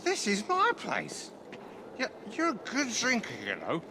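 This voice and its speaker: A man's voice: extremely high pitch, huge pitch swings, measured pace, vocal fry, noisy background, full character voice. An actor playing a drunken, whiny Victorian man in a video game cutscene, slurred and theatrical. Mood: merry, drunk.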